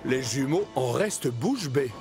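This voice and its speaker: A man narrating a children's cartoon in French dub as an expressive storyteller. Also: medium pitch, unhurried, music in the background.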